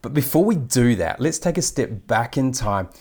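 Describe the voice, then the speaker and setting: A man's voice: medium pitch, big pitch swings, normal speed, darkish tone, slightly rough. A man hosting a podcast, reading a formal, effusive guest introduction and then asking questions. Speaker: podcast host. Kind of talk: scripted guest introduction and interview.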